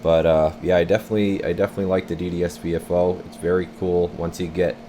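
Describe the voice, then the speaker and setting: A man's voice: deep voice, level tone, quick pace, warm tone, a little hiss or hum. A man talking casually and unscripted as he gives a laid-back, rambling radio equipment demonstration. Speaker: channel host demonstrating a CB radio. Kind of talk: casual unscripted demo talk.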